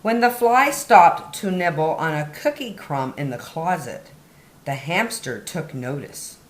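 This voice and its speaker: Slow, expressive woman reading a children's story. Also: low voice. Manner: deliberate, dramatic emphasis on key words. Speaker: children's story time host reading picture books. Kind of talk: expressive read-aloud storytelling for young children. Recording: roomy, echoey indoor sound.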